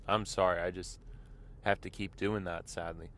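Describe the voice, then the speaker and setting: A man hosting his own show, talking casually while driving, with a congested voice from a mild illness. He rambles and keeps clearing his throat. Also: sits low, fairly bright tone, slight background noise. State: congested, slightly sick voice. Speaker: vlog host. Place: inside a car.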